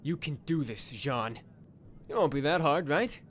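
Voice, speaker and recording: A man's voice: a little high, animated, measured pace, fairly bright tone, a little hiss or hum. A man playing a nervous, lovestruck young space cadet in an anime parody, stammering and awkward. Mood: anxious self-encouragement.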